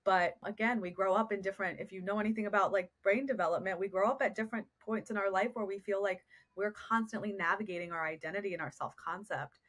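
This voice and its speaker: A woman's voice: mid-range voice, quick pace, hardly any pauses, fairly bright tone. A woman, a therapist speaking as a guest on a podcast interview, earnest and reflective, often posing rhetorical questions. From United States.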